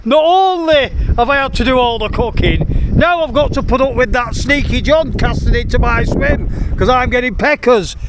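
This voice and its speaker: A man's voice: very high voice, moderate pace, hardly any pauses. A man hosts a casual outdoor fishing vlog, chatting to viewers in a jokey, playful, slangy way. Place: outdoors on a sea breakwater at night. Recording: noisy outdoor recording on a waterside.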